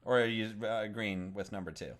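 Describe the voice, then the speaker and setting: A man co-hosting a gaming podcast in casual discussion, animated and given to exaggeration, often stumbling mid-thought. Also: on the low side, fairly quick. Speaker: podcast co-host.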